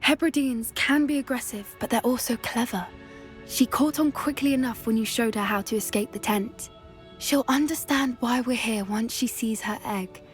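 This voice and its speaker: A woman voices a teenage British witch girl from a fantasy video game. She is a gentle creature lover who speaks earnestly and thoughtfully, with soft assurance and a slightly raised pitch, over faint music. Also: normal speed, natural voice. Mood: earnest, assured. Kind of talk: video game character dialogue.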